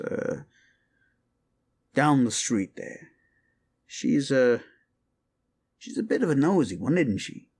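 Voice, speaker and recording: A man playing a rumpled, folksy police detective in a roleplay audio drama, musing and halting as he politely needles a suspect with feigned casualness. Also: medium pitch, vocal fry, heavily acted. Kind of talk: roleplay audio drama, interrogation monologue addressed to listener.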